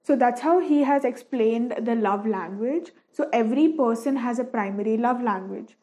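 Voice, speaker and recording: Young woman, Malayalam first language, slightly high voice, casual and chatty over a painting session. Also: normal speed, a little mellow. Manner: chatty, many fillers and restarts. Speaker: painting vlogger.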